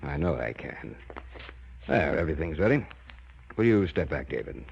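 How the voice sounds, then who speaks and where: An obsessed older scientist with a very low, highly animated voice. He is persuasive and theatrical in the manner of 1950s radio drama. The old recording is dull, with some noise behind him. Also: normal speed, creaky, full character voice. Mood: confident, intent.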